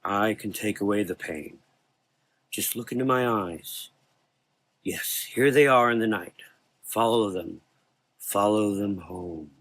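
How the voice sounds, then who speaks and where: Man voicing a slow, velvety, sinister soul reaper. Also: fairly low pitch, fairly bright tone. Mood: soothing, coaxing. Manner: slow, coaxing, solemn. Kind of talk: gothic horror audio drama.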